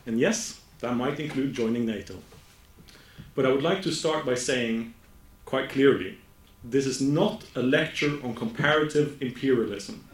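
Norwegian-accented man lecturing in an echoey room. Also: medium pitch. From Norway. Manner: slow, careful delivery with frequent self-corrections. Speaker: academic speaker. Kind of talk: conference presentation.

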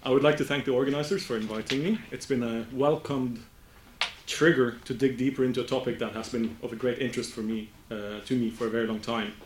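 A Norwegian-accented man delivers an academic talk at a middle pitch, measured and deliberate, sometimes stopping to fix a word. His voice rings around an echoey room. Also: steady tone, background noise. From Norway. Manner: slow, careful delivery with frequent self-corrections. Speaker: academic speaker. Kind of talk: conference presentation.

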